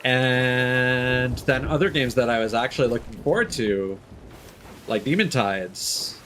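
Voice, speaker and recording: A man's voice: mid-range voice, a little slow, fairly bright tone, slight background noise. A man live streaming a game, casually chatting and thinking aloud in a reflective, rambling way. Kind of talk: casual chat with gameplay commentary.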